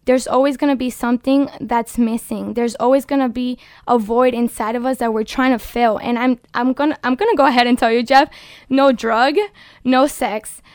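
A woman's voice: fairly high pitch, fairly even intonation, moderate pace, darkish tone, clean tone, no echo, clean studio sound. A woman in her twenties from the Dominican Republic, a podcast guest speaking earnestly and passionately about her faith. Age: 20s. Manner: earnest and passionate, exhorting listeners. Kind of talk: casual faith-based podcast talk. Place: studio.

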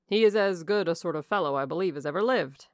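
Woman putting on a bluff, hearty country gentleman. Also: lowish voice, fast talker, no room sound, clean studio sound, close to an everyday voice. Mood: hearty, emphatic. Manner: bluff, emphatic, good-humoured. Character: hearty, blunt, jovial older country gentleman.

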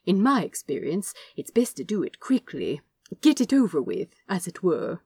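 A woman puts on a fussy, genteel British angel who coaxes and frets in lively, swooping tones. The voice is mellow and slightly husky, dry and close with no room sound. He sounds mannered and old-fashioned. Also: fairly low pitch, normal speed, dry recording, full character voice. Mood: coaxing. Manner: prim, polite, old-fashioned phrasing. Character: prim fussy male angel, genteel and polite.

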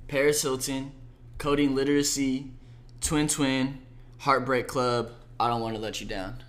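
Young male musician, soft-spoken and slangy, relaxed casual chat. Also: medium pitch, measured pace. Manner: laid-back slangy talk, lots of "like" and "bro". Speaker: musician talking about his EP.

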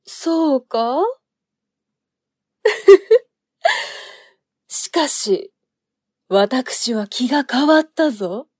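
A woman's voice: sits high, sing-song, very slow, long pauses, darkish tone, slightly rough, dry recording, clean studio sound, heavily acted. An actress playing a sinister, seductive woman agent in a period audio drama, taunting in courtly archaic phrasing. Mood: seductive, amused. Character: sinister seductive noblewoman agent, archaic speech, mocking, menacing.

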